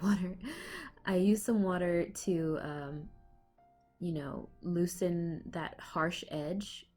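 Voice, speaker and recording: Chatty woman with a cold, lowish voice. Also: dark tone. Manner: rambling, with fillers and small laughs. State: has a cold. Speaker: art vlogger. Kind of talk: casual voiceover for a speed paint. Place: home. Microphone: laptop microphone.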